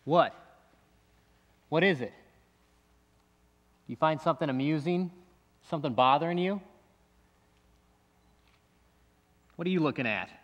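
An actor playing a bitter, distrustful man who keeps himself restrained, talking in halting bursts that repeat and break off, with pointed questions at the listener. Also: fairly high pitch, muffled recording, natural voice. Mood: defensive, confrontational. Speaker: actor in a monologue. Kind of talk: dramatic stage monologue. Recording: long echoey hall reverb.

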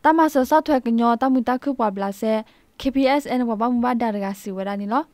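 A woman with a fairly high voice reads scripted radio news. The sound is fairly dry, with little room around her, as a news presenter would have in a studio. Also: fast talker, a little mellow, no echo.

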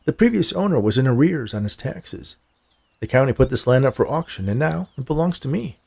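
A man voicing a polite, persistent male land buyer in a story, with a calm, folksy, disarming manner. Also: medium pitch, brisk, gravelly, breathy edge, voice pushed up. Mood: calm, reasonable.

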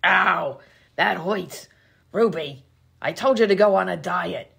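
A woman playing a gruff, bossy 1920s vaudeville comedienne, slangy and sarcastic, in an old-time radio comedy sketch. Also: on the low side, slow, heavy background noise, exaggerated voice. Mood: exasperated. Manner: brash, slangy, sarcastic insults.

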